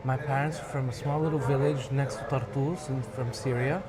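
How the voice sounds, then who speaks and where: A man's voice: medium pitch, steady tone, normal speed, a loud crowd. A man from Michigan with an American accent, talking casually as an interview guest and slipping Arabic phrases into his English. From Michigan, United States. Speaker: interview guest, musician and music director. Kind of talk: casual interview talk, reminiscing. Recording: clearly noisy background ambience.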